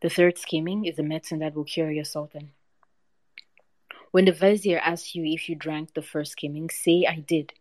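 A woman voicing a wise, fatalistic male snake king in a read-aloud folk tale, with a measured, solemn delivery. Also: low-pitched, fairly even intonation, darkish tone, no room sound, lightly acted. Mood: grave.

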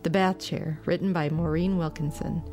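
A woman's voice: low-pitched, fairly even intonation, normal speed, darkish tone. A woman hosting a podcast, reading scripted announcements and introductions. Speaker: podcast host. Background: music.

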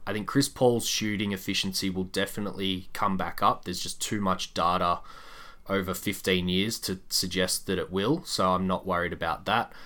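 A man with a deep voice that cuts through brightly and firmly. He co-hosts a casual sports podcast and lays out his points in a measured, explanatory manner. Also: fairly even intonation. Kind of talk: casual basketball discussion.

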